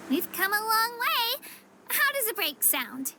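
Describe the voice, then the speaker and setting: Very high-voiced, upbeat girl guide. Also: sing-song, measured pace, background noise, heavily acted. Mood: cheerful, friendly. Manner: friendly, chatty, eager explaining. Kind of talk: video game voice acting. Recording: music behind the voice. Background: game music.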